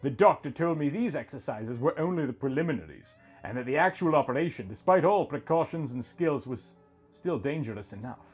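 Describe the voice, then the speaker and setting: A man's voice: mid-range voice, animated, brisk, breathy edge. A man reading a scripted first-person story as its narrator, with music behind him.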